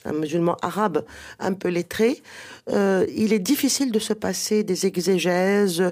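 A woman's voice: on the low side, moderate pace, a little mellow. A woman whose first language is Arabic, a guest author explaining at length in a radio interview with many fillers and restarts.

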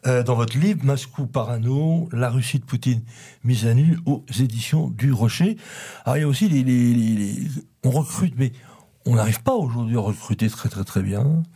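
A man's voice: medium pitch, normal speed, warm tone, breathy edge. A man hosting a radio interview, talking hesitantly and often repeating words. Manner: hesitant, repeats words, chuckles while reading. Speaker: radio host.

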